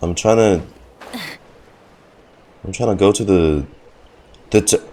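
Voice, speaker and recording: A man's voice: low-pitched, slow, dark tone. A man giving casual, sarcastic and sweary reaction commentary as he streams a video game. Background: game music.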